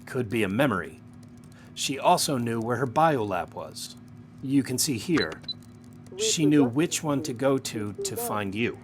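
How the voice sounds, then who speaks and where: A man playing a stern, suspicious superior in an audio drama, questioning a subordinate in a clipped, pointed, quietly menacing way. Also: mid-range voice, lively intonation, measured pace, close to an everyday voice. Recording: noticeable background hum and noise.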